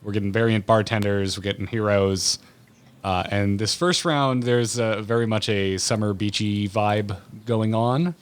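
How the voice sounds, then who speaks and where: A man with a fairly low voice hosts a casual podcast interview. He frames his questions playfully, with a mock-serious build-up. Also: steady tone, a little slow, smooth. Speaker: podcast host.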